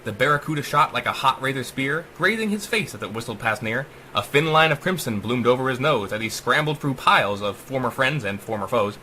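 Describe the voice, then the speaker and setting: A man's voice: mid-range voice, expressive, fairly quick, hardly any pauses, pushed and bright, slight background noise, rough recording. A man giving a dramatic, theatrical audiobook narration of horror verse, with a sing-song rhyming cadence. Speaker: author reading own story.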